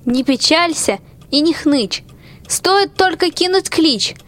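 A female performer playing a brisk, caring young wife who comforts and commands in rhyme in a radio fairy tale. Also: high voice, lively intonation, a bit bright, heavily acted. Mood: reassuring, commanding. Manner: reassuring, commanding rhymed speech.

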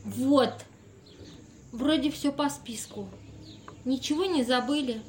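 A woman's voice: high voice, takes it slowly, slight background noise. A woman playing an impatient, businesslike experimenter in an amateur audio play, giving instructions with exasperation. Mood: brisk, impatient.

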